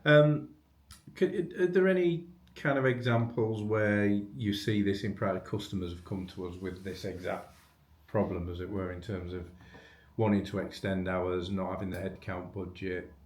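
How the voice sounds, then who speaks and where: Male webinar host, very deep register, conversational business interviewer, informal and probing, recorded in a reverberant room. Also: fairly even intonation, normal speed. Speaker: webinar host asking questions. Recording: echoey room.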